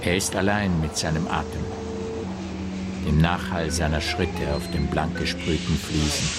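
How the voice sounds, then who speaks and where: Slow, low-voiced brooding noir narrator. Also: male voice, steady tone. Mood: terse, suspenseful. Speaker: radio play narrator. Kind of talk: literary radio play narration, terse poetic fragments. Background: music behind the voice.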